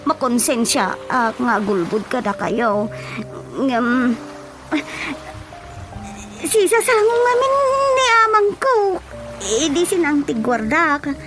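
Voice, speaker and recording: Scared young ilocano daughter, high voice, slow stammery pleading, radio drama. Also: female voice, lively intonation, loud music behind the voice, low-quality recording. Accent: Filipino, Ilocano. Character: anxious pleading young daughter afraid of her father.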